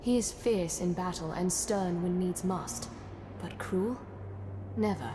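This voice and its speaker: A woman playing a solemn, thoughtful chronicler of a besieged fantasy city in a video game, narrating in a measured, grave and reflective way. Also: lowish voice, background noise. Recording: echoey hall reverb under music.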